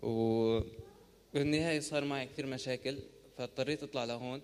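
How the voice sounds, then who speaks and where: A man from Syria with a Syrian Arabic accent telling his personal testimony in a rapid, emotional way. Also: medium pitch, steady tone, smooth. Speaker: baptism candidate. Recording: long church reverb.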